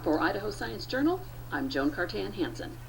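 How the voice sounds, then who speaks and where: A woman's voice: mid-range voice, sing-song, moderate pace, creaky, background noise. A woman with an American accent reading scripted news narration as a science reporter.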